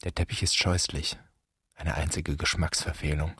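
Casting: embittered son in a radio play, extremely low husky voice, brooding and accusing. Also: male voice, little pitch movement, normal speed, a bit bright, exaggerated voice. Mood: bitter, accusing. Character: bitter grieving adult son addressing his dead father. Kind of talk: literary radio drama monologue.

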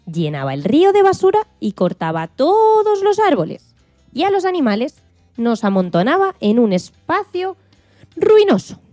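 Storytelling farm hen played by a woman: high, playful, dramatic, children's audio tale, music underneath. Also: no echo. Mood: indignant. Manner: expressive, dramatic, playful emphasis. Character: excitable farm hen astronaut telling her own story. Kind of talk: radio play storytelling for children. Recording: music behind the voice.

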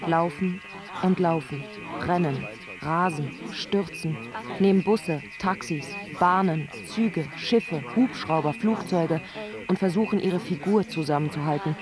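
Actor as driven script narrator, high male voice, staccato lists; radio play with music underneath. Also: unhurried, close to an everyday voice. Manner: staccato, listing. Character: breathless narrator reciting film script directions.

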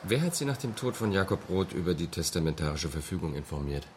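An authoritative police captain in a radio crime drama. His voice is deep and his questioning formal and curt, over faint background ambience. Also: very animated, fast, darkish tone, a little hiss or hum. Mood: coolly official.

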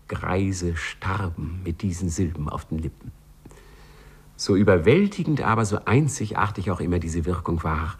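A man narrating a 1950s radio play in a measured, ironically solemn manner, with a husky voice. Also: low-pitched.

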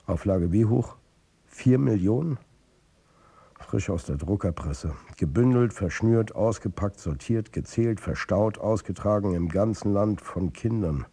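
A man's voice: fairly low pitch, lively intonation, measured pace, dark tone, slightly rough, heavily acted. An actor playing a troubled football coach and family man, narrating his own story in a confiding way in a radio drama. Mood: tense, shaken. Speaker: narrator and lead character.

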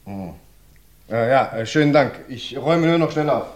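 A man's voice: mid-range voice, lively intonation, normal speed, lightly acted. A man voicing a nervous, eager young police clerk in an old radio crime play. The voice sits in an echoey room, with faint hiss in the background.

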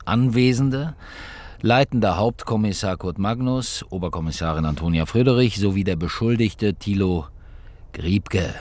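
A man's voice: low-pitched, darkish tone. A man playing a middle-aged chief homicide inspector in a radio drama, terse and insistent, formal in his questioning. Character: male chief homicide inspector, authoritative, probing interrogator. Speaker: actor in a radio crime drama. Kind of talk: naturalistic German radio drama acting.

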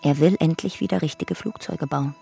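An actress narrating a radio drama as a family chronicler, factual and composed with dry irony. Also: female voice, low-pitched, normal speed, gravelly, dark tone, husky, soft background music, full character voice. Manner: factual, composed, dryly ironic. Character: matter-of-fact, dryly ironic chronicler of a family.

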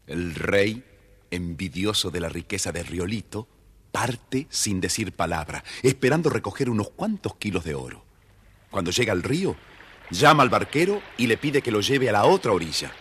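A man with a husky voice, narrating a scripted children's story. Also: fairly low pitch, big pitch swings, moderate pace, a bit bright.

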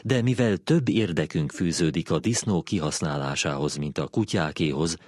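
A man with a low voice narrates a written essay. It is a scripted read, recorded close and dry with very little room sound. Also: a little mellow, dry recording. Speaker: narrator reading a philosophy essay.

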